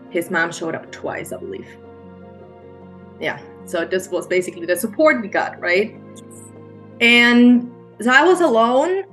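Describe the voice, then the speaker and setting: A middle-aged woman speaks with a clear German accent, her voice pitched in an ordinary middle range. She talks in a halting, heartfelt way, often restarting her sentences. Soft music sits faintly underneath her voice. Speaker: guest, mindset coach. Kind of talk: emotional personal storytelling interview.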